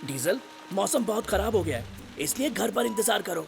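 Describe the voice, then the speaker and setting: A high, gravelly voice for a cautioning grown-up cartoon character. The delivery moves up and down a lot, over a clear music bed. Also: male voice, animated, normal speed, exaggerated voice. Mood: cautioning, concerned. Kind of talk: animated children's cartoon dub.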